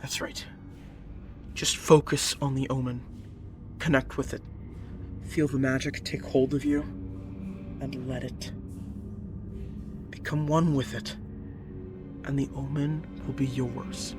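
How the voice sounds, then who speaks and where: A man playing a calm, persuasive magical creature specialist in an audio roleplay, speaking directly to the listener in a measured, coaxing and instructive way, with music behind him. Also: mid-range voice, lightly acted. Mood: coaxing, encouraging. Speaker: voice actor.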